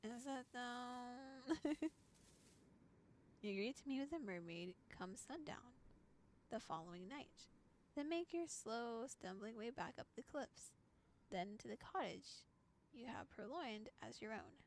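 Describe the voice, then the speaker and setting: A woman's voice: fairly high pitch, lively intonation, a little slow, pauses often, slight background noise. A playful, giggly woman VTuber reading a visual novel aloud and adding casual commentary. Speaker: VTuber streamer.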